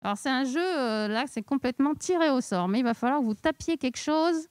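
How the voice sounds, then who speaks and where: Playful woman presenter with a high voice. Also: expressive, fairly quick, darkish tone, no room sound. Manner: playful, bantering. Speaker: co-host, librarian. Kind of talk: casual live-stream presenting. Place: small decorated indoor stage set. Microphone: handheld microphone, speaking through a face mask.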